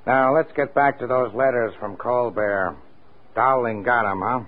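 An actor playing a gruff, skeptical police sergeant in an old radio crime drama, dry and needling. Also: male voice, mid-range voice, unhurried, lightly acted. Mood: brisk, sardonic. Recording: tinny old radio recording.

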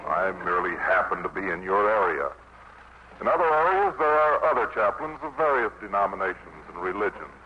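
Folksy field chaplain, low voice, earnest and wry, informal sermon, old radio drama, muffled sound. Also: male voice, wildly swooping pitch, fairly quick, full character voice. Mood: brisk, friendly. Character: plainspoken, folksy American army chaplain, steadfast. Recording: muffled, noisy vintage radio broadcast.

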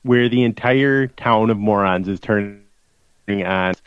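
A man co-hosting a casual sports podcast who talks in an animated, sardonic way. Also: fairly low pitch, a little slow, clear, resonant tone, no room sound, highs cut off. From small-town Minnesota. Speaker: podcast co-host.

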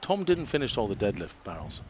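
A man hosting a podcast, asking questions in casual chat with an animated manner. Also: lowish voice, a little fast, a little hiss or hum. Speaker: podcast host.

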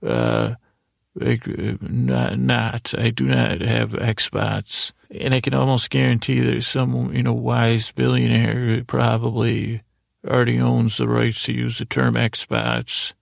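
A man hosting a bedtime podcast in a gravelly voice, with a meandering, halting, self-deprecating monologue. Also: medium pitch, dry recording, studio quality. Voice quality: very creaky, gravelly. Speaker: podcast host.

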